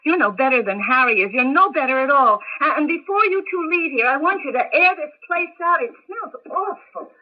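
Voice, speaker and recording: An exasperated housewife scolds in a 1980s radio drama. Her voice is high and plaintive, full of nagging complaint. The broadcast sound is slightly dull and narrow. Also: lively intonation, a little fast, hardly any pauses, slight background noise. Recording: dull, band-limited old radio broadcast.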